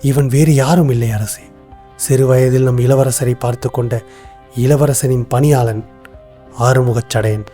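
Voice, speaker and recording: A man playing a shrewd, composed royal minister in an animated tale, speaking in a formal, deliberate, explanatory way. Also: medium pitch, soft background music, close to an everyday voice. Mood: grave, revealing. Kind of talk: animated moral story dialogue.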